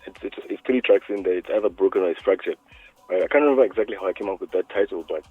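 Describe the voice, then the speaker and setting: A man who is a music producer, talking by phone in an interview, hesitant and full of "um"s and quick to laugh. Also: sits low, husky, dry recording. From South Africa. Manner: hesitant, many "um"s, laughs easily. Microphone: phone line. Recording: tinny dry phone line.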